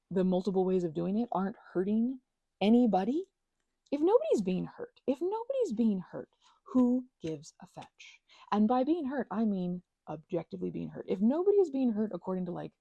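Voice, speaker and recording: Woman, thirties, ASMR rambler. Mellow, breathy delivery, playful and emphatic, recorded very dry. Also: medium pitch, dry recording. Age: 30s. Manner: emphatic, playful slangy rambling with quoted asides. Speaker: ASMR channel host. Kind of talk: casual rambling ASMR monologue.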